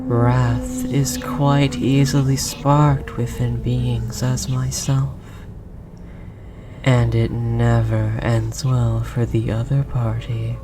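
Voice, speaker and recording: Casting: ominous forest deity played by an actor for audio roleplay, extremely slow, breathy whispering, gently menacing and possessive, over noisy ambience. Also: medium pitch, level tone, close to an everyday voice. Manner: slow, solemn, archaic formal phrasing. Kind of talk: scripted roleplay audio drama monologue.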